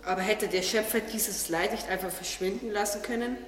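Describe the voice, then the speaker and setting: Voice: mid-range voice, fairly even intonation, brisk, a bit bright, natural voice. An actor playing a naive, curious talking tree in a religious radio play, earnest and questioning. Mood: puzzled, astonished. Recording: echoey, distant, long reverb, music behind.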